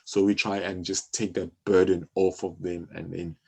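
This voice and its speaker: A South African man explaining things conversationally as an interview guest, often halting and repeating his phrases. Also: sits low, little pitch movement, creaky, dark tone, husky. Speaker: interview guest, music publisher.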